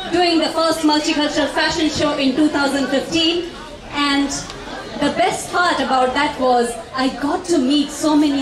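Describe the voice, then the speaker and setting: A woman who hosts a community TV show, giving a formal speech to an event audience. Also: high voice. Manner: formal, addresses ladies and gentlemen. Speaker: TV show host and event sponsor representative. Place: large hall at a fashion show. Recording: echoey hall from far off with crowd.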